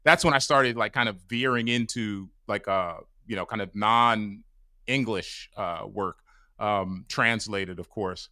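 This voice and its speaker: Brief: bright, crisp male host, loose and rambling with fillers, dry close mic. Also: lowish voice, takes it slowly, no room sound. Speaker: podcast host. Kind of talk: casual interview chat. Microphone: mic on a stand over a video call.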